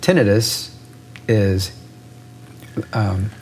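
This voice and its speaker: A man's voice: lowish voice, very slow, a little mellow, slight background noise, poor audio. A man, an ear doctor, explaining with analogies in an interview and often checking in with "right?".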